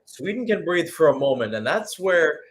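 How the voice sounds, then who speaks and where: A man's voice: fairly high pitch, sing-song, moderate pace. A man giving live radio-style football play-by-play, mixing calls of the action with conversational analysis, hesitations and self-corrections. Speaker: play-by-play commentator.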